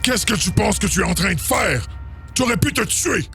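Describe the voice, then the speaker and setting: A man's voice: high-pitched, lively intonation, moderate pace, creaky, bright, forceful tone, exaggerated voice. A stern male train conductor acted by a man in an amateur audio drama. He sounds urgent and firm, with faint music underneath. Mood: alarmed, shouting.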